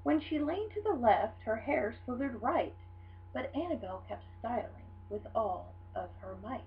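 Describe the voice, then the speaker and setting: Animated woman reading a storybook aloud. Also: fairly high pitch, normal speed. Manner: animated, dramatic storytelling delivery. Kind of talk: expressive children's picture book read-aloud. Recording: dull lo-fi sound with hum.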